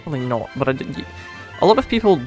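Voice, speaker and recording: A man's voice: medium pitch, animated, rapid-fire, a little mellow, soft background music. A man from the Netherlands with a Dutch accent, chatting casually on a live stream and rambling in a self-deprecating way. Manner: rambling, self-deprecating, thinks aloud with restarts.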